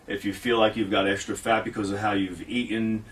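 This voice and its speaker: A man's voice: fairly low pitch, little pitch movement, fairly quick, distant mic, rough recording. A man hosting a fitness radio show, talking casually, rambling and mocking himself. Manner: rambling, self-mocking, restarts often. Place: radio studio.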